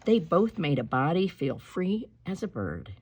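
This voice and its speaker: A woman reading a children's picture book aloud in an expressive, emphatic storytelling style, slowing on key words. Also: fairly low pitch, slightly rough. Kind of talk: expressive read-aloud storytelling with spoken asides.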